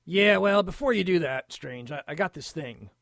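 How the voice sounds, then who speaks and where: A man's voice: fairly high pitch, animated, moderate pace, gravelly, fairly bright tone, no room sound, studio quality. A man playing an exasperated, sarcastic police detective in an audio drama. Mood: guarded, businesslike.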